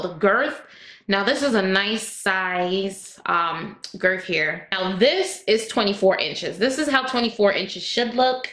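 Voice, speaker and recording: A woman with a raspy voice, chatting casually to her viewers as she reviews hair and walks through a tutorial. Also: mid-range voice, fairly bright tone. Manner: chatty, addresses viewers directly. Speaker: hair vlogger.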